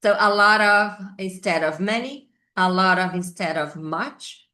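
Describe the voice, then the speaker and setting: A middle-aged Brazilian woman, a native Portuguese speaker, teaches English grammar informally with a Brazilian accent, thinking aloud haltingly and repeating key words. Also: medium pitch, bright, forceful tone. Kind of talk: informal whiteboard grammar lesson.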